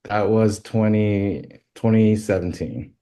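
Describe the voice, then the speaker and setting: A deep-voiced man from Thailand talks as a podcast guest, his tone low and mellow. He speaks haltingly, full of fillers and restarts, in a relaxed interview. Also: clean tone. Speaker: podcast guest, western filmmaker.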